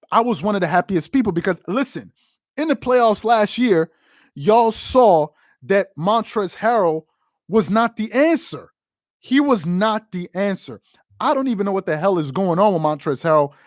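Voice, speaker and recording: A man's voice: high-pitched, dry recording, clean studio sound. A man from the United States hosting a casual sports talk stream, reading viewer comments and giving emphatic opinions, often repeating phrases. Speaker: sports show host.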